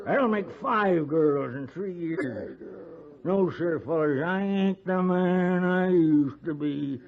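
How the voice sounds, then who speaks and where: A man's voice: on the high side, takes it slowly. An actor playing a drunken, boastful old frontiersman with rustic Western American speech in a 1950s radio western. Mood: drunken gloom. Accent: rustic American West. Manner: folksy, blustering backwoods talk. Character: drunken, boastful, quarrelsome old frontier man, proud father. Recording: muffled vintage 1950s radio recording.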